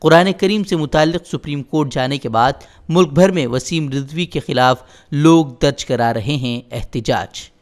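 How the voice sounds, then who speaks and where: Male news presenter, fairly high voice, formal headline delivery, scripted bulletin style. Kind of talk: scripted headline news bulletin read in Urdu-heavy register.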